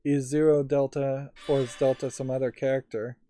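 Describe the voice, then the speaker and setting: A man's voice: medium pitch, level tone, moderate pace, dark tone. A man giving game commentary, thinking aloud in an analytical way. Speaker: let's play streamer.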